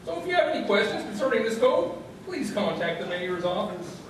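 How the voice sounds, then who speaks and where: An actor playing an officious small-town official reading a mock public service announcement in radio theatre. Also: male voice, sits high, big pitch swings, fairly quick. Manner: formal, officious. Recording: echoey hall, distant mic, faint noise.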